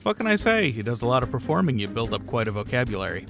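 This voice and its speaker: Emphatic man pitching over music. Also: big pitch swings, fast, vocal fry. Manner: emphatic, dramatic, conversational. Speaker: audio producer pitching a project. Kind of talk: enthusiastic crowdfunding promo narration. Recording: music bed behind voice. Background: background music.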